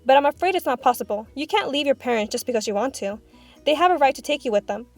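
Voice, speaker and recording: A woman voicing a gentle, composed and caring storybook schoolteacher, speaking in a soft, measured way. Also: high voice, animated, soft background music. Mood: regretful, tender.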